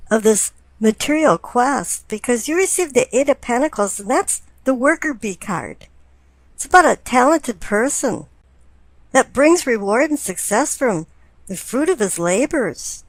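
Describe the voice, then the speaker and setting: A woman with a fairly high voice reads tarot for her listener. She explains things deliberately, setting out one phrase after another. The recording is dry and close, with little room sound. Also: no echo, low-quality recording. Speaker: tarot reader. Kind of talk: spoken tarot card reading.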